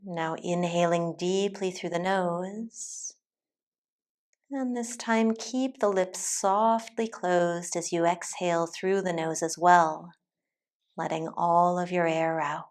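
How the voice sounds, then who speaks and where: A woman teacher leading a guided meditation in a calm, soothing, deliberate way with long pauses. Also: on the low side. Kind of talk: guided meditation, soft instructional narration.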